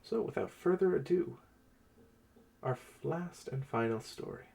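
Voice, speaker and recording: A man hosting and narrating fantasy stories as a game master, theatrical, with weighted pauses. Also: mid-range voice, expressive, creaky, darkish tone. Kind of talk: dramatic reading and channel presenting.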